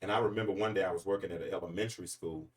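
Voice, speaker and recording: A man's voice: deep voice, racing, far from the mic. A man, hosting a freestyle commentary monologue in an emphatic, rambling, preachy way. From Arkansas, US. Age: 40s. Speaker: podcast host.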